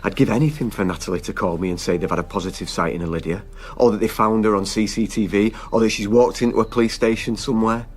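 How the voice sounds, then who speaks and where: A man's voice: on the low side, fairly even intonation, fast, few pauses, breathy edge. An actor playing a weary, anguished husband and father in a naturalistic radio drama. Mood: bitter, weary.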